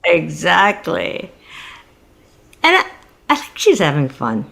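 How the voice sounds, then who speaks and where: A woman's voice: fairly low pitch, wildly swooping pitch, takes it slowly, creaky, a bit bright, heavily acted. An actress playing a middle-aged single mother in a comedic audio drama, polite and awkward, speaking hesitantly and tactfully. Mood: chatty, wistful.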